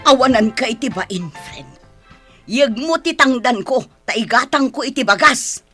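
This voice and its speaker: A fairly high, sharp voice gives this hard-pressed mother her bite. She is embittered and combative, nagging and scolding in radio drama style. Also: expressive, measured pace, faint music behind, exaggerated voice. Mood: bitter, scolding. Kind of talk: Ilocano radio drama acting.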